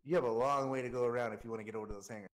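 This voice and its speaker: A man's voice: medium pitch, steady tone, rapid-fire. A man casually explaining things like an informal coach, walking through examples. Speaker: air traffic controller coaching a flight sim player.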